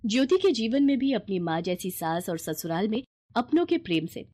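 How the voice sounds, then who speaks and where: A woman's voice: medium pitch, big pitch swings, moderate pace, mellow. A woman narrating a scripted bedtime moral story.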